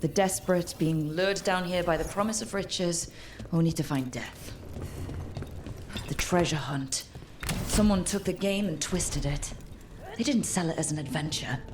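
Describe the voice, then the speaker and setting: Grave, low-voiced woman investigator, played by an actress. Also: background noise, lightly acted. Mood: grim. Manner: measured, grave, dramatic. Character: grim, brooding woman investigator in a fantasy world. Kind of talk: video game voice acting. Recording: game music behind the voice.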